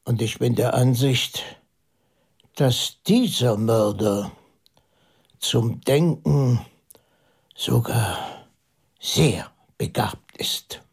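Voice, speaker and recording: An actor playing a pondering priest detective in a German radio play, methodical and intense, musing aloud with halting emphasis. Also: male voice, medium pitch, lively intonation, vocal fry, darkish tone, slightly rough, exaggerated voice. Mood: insistent, puzzled. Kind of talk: German radio play dialogue, deliberate monologue.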